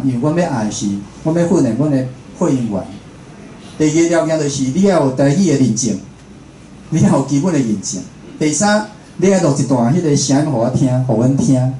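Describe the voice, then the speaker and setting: A man's voice: mid-range voice, dark tone. A man with a Taiwanese accent, a radio host, giving an informal, conversational public talk and often checking in with his listeners. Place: indoor room at a public talk. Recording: roomy talk venue with faint noise.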